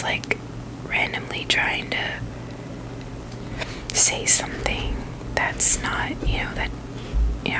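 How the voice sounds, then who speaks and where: Woman, whispered ASMR, very slow pace, informal, halting, video host. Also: rough recording. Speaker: video host reviewing sneakers.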